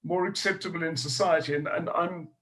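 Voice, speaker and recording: A middle-aged South African man with a higher-pitched voice talks through his ideas earnestly as a historian guest. He thinks aloud, restarting his phrases and circling back to his point. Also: fairly even intonation, a little fast, fairly bright tone. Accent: South African English. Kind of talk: panel discussion.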